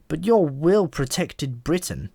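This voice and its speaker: A man's voice: a little high, animated, slow pace, vocal fry, mellow, husky, no echo, studio quality, pitched above the performer's own voice, full character voice. Man voicing a chatty, world-weary talking pigeon. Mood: chatty, matter-of-fact. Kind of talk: audiobook character voice.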